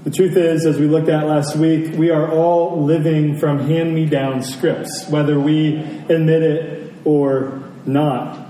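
A man preaching a sermon in a deliberate, emphatic way, with dramatic pauses between phrases. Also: a little high, flat delivery, warm tone. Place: church service, speaking to congregation. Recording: roomy echo, distant mic, faint noise.

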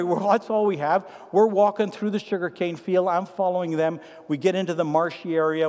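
A Trinidadian man preaching from the pulpit, his voice sitting a little high for a man. He moves from lively storytelling to soft, earnest appeals, and a long reverb from the church room trails each phrase. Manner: animated anecdotes, then quiet earnest appeals. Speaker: pastor to seniors. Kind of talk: sermon with humorous storytelling. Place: church sanctuary.

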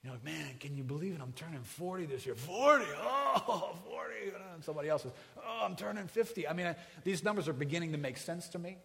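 A man in his forties preaches a sermon with a slightly high voice. He talks conversationally and jokes with the congregation, dropping to a hush and then rising loud. The sound carries a long reverb from the hall. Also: animated, quick pace, creaky, hardly any pauses. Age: 40s. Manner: conversational, joking with the congregation, swings from hushed to loud. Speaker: pastor.

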